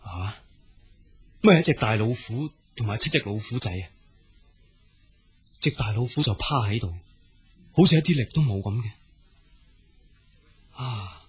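A pensive young prince from a radio drama. His voice is very husky and rough at a middle pitch, and he speaks earnestly, sighing and musing as if to himself. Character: gentle compassionate young prince, pensive, self-sacrificing. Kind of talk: Cantonese radio drama acting.

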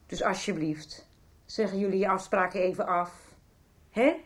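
A woman's voice: mid-range voice, normal speed, close to an everyday voice. An actress playing a serious mother of teenagers, firm yet appealing, in a Dutch radio soap.

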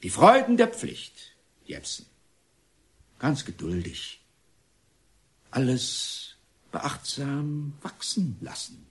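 Pompous institution director, declamatory and stern, highly animated pitch, radio drama. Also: male voice, drawn-out words, pauses often, exaggerated voice. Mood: commanding, patient. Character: pompous reformatory director, stern yet smugly patient. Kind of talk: German radio play acting.

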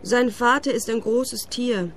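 A woman's voice: fairly high pitch, a little slow, slight background noise, lightly acted. An actress playing a young woman in a radio drama who answers the questions bluntly and defensively, in a matter-of-fact tone. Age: young adult. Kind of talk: radio play acting.

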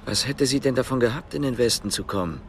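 An actor playing a wary East German police investigator in a naturalistic radio drama, putting short, probing questions. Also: male voice, mid-range voice, animated, fast talker, a little hiss or hum, close to an everyday voice. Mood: sceptical, insistent.

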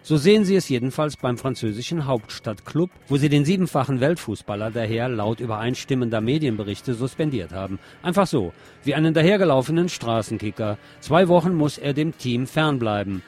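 A man presents news and commentary on the radio. His voice sits at a medium pitch and he adds dry, sardonic remarks as he reads. Faint music plays along with his voice. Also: expressive, fairly quick, hardly any pauses, a little mellow.

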